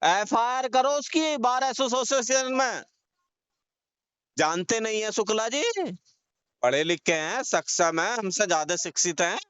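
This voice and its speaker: Guy on a dull phone recording arguing hard, smooth highish voice, keeps pushing and repeating himself. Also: fairly bright tone, no echo. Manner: confrontational, insistent, accusing, repetitive. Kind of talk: heated phone argument in casual colloquial speech. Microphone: phone call.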